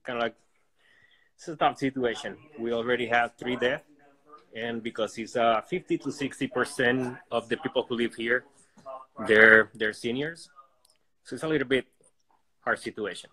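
A Puerto Rican man in his forties talks casually in accented speech, a native Spanish speaker. His voice sits at a middle pitch, and he speaks into a phone at home as a caller. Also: steady tone, normal speed, pauses often, bright, forceful tone, poor audio. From Puerto Rico. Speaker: caller, personal trainer. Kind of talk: casual chat. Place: indoor room at home. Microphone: phone at arm's length.

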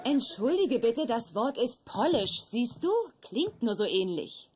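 A woman's voice: a little high, big pitch swings, a little slow, a little mellow, a little hiss or hum. An actress playing a teasing, upbeat young woman in a dubbed sitcom, with a casual, mocking manner. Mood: patronizing.

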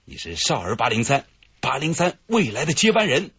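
An actor playing a cheerful, boastful detective leading a stakeout in a radio drama, with a husky voice. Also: male voice, medium pitch, very animated, moderate pace, fairly bright tone, no room sound, highs cut off, exaggerated voice. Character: cheerful, boastful middle-aged male police detective. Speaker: police detective leading the operation. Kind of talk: broadcast radio drama acting.

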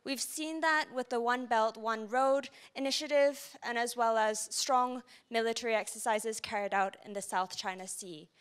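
Young student girl from malaysia, high clear voice, asking the panel a question. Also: normal speed, hardly any pauses. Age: young adult. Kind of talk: audience question. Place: large conference hall.

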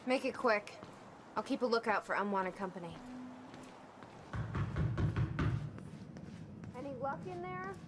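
A woman's voice: on the high side, sing-song, brisk, noisy background. A woman acting a tough, sardonic, no-nonsense operative in a cinematic video game, terse and dry. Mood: focused.

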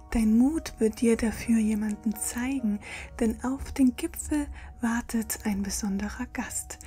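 Female bedtime narrator: slow, dark-soft whisper, gentle and soothing, ASMR-style verse reading. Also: medium pitch. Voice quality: breathy, whispery. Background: music.

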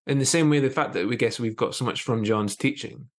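A man in his thirties with a middle-pitched voice hosts a podcast. He speaks in a casual, conversational way over a video call from an indoor room. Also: brisk. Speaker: podcast host. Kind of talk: casual interview chat. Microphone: wired earphones on video call.

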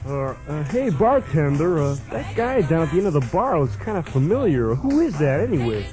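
Young salesman, radio comedy, played by a man: high, animated, naive, hesitant and stammering. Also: moderate pace, music in the background. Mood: awkward. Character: eager, nervous, naive young travelling salesman. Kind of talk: comic radio play acting.

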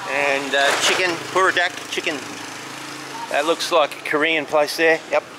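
A man giving a casual, halting walking commentary as he points out sights outdoors. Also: a little high, fairly even intonation. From Australia. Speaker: walking tour vlogger. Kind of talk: casual walk-and-talk commentary. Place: outdoors, walking along a city street. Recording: outdoor street recording with clear traffic noise. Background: street and vehicle noise.

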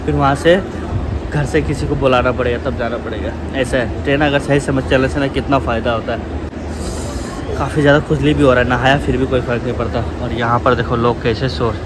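A man's voice: mid-range voice, fairly even intonation, a little fast. A man in his twenties chatting casually and informally to viewers in a travel vlog, speaking into a handheld camera at arm's length. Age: 20s. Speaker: travel vlogger. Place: outdoors at railway stations and on the road. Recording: noisy outdoor station and vehicle ambience.

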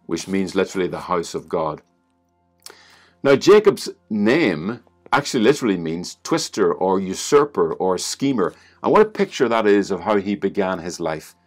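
A middle-aged man with an Irish accent preaching an online sermon from his living room, reading scripture and building to emphatic exclamations, recorded on a webcam. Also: on the low side. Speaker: pastor. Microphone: webcam at desk distance.

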